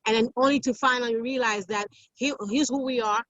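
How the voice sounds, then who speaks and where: A Haitian-born woman with a slightly high voice speaks as an interview guest, emphatic and full of fillers and restarts. The sound is dry, with no room echo, and comes through a dull, lo-fi call connection. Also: steady tone, a little fast, fairly bright tone. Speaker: guest activist. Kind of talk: interview recounting events.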